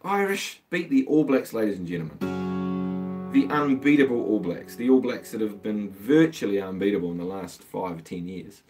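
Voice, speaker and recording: A man with a slightly low voice chats loosely between songs, drifting through viewer requests with plenty of ums and trailing thoughts. He is a guitar teacher talking at home, and a guitar rings out clearly behind him. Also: expressive, normal speed. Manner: rambling, easygoing, answering viewer requests with trailing fillers. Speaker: guitar teacher and live-stream host. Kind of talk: casual live-stream chat between songs. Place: home, with a water pump running in the background. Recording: live stream with guitar playing behind.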